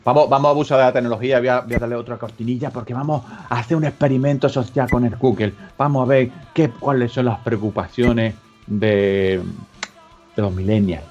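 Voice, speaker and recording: A man's voice: medium pitch, fairly even intonation, a little fast, darkish tone, muffled recording, faint music behind. A man from Spain hosting a comedic radio chat, joking and rambling as he reads out web searches. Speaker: radio show host.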